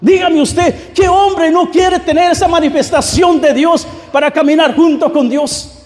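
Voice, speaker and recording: A man's voice: very high voice, darkish tone. A man preaching a fervent sermon, exhorting the congregation directly and circling back over his phrases. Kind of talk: Pentecostal-style sermon. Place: large reverberant room, church service. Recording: echoey hall with long reverb.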